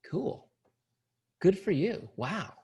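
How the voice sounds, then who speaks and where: A man voicing an excited woman, an old friend replying to a message, in a gushing, friendly way. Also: sits high, very slow, husky, voice pushed up, full character voice. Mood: impressed.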